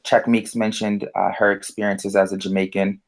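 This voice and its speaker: A man with a fairly low voice co-hosting a relaxed discussion. He hesitates often and restarts his sentences. He stays conversational throughout. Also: moderate pace, creaky. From United States. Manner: hesitant, many fillers and restarts. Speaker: podcast co-host. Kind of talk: casual discussion.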